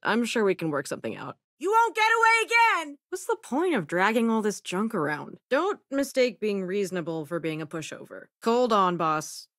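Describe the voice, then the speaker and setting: A clever renegade scientist from a sci-fi video game. She is dry and self-assured, with a casual, wry delivery that swings freely in pitch. The sound is close and very dry, free of room echo. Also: big pitch swings, normal speed, few pauses, fairly bright tone. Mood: wry, confident, then battle-fired. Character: sardonic, clever renegade scientist woman, spaceship crew companion.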